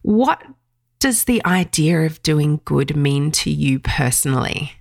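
A woman hosting a podcast, reading a measured introduction and asking questions. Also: low-pitched, lively intonation, creaky, no room sound, clean studio sound. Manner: measured, reads a scripted introduction. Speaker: podcast host.

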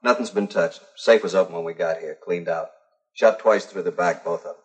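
Grim cop, low husky voice, clipped flat reporting, 1950s crime drama. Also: male voice, fairly quick. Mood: grim, terse. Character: grim, plainspoken male policeman reporting a double killing.

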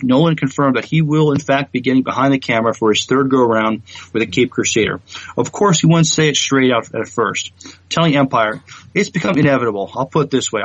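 A man's voice: medium pitch, muffled recording. A man co-hosting a podcast, chatting casually about entertainment news and often thinking aloud in a hesitant way. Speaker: podcast co-host.

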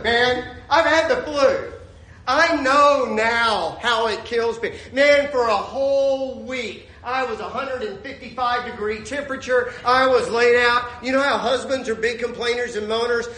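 Man preaching a sermon in an echoey church. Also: extremely high pitch. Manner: dynamic preaching, shouting peaks then dropping to a hushed storytelling tone. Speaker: pastor.

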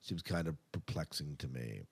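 A man's voice: rumbling low, normal speed, slightly rough. A man co-hosting a podcast, giving casual entertainment news commentary in a conversational way with emphatic asides. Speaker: podcast co-host.

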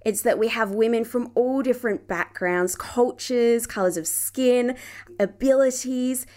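A woman's voice: on the high side, a little slow, a little mellow. A woman hosting a podcast, talking with an effusive, enthusiastic manner in a live online conversation and then closing the show. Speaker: podcast host. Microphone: live-stream call.

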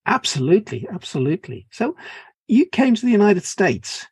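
A man in middle age hosts a conversation, asking his questions in a measured, curious way. His voice has a husky, slightly breathy grain. The sound is dry and close, with no room echo. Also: high-pitched, very animated, darkish tone. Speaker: podcast host. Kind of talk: interview conversation.

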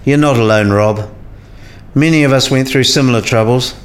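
A man playing a kindly, wise professor and mentor in a radio play, measured and reassuring with a gentle wryness. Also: medium pitch, a little hiss or hum, close to an everyday voice. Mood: gently wry.

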